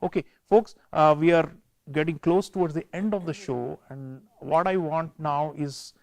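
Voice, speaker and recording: A man hosting a panel discussion, speaking in a measured way with frequent pauses and turning to address his viewers. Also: a little high, steady tone, vocal fry, darkish tone, no room sound. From India. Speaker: show host.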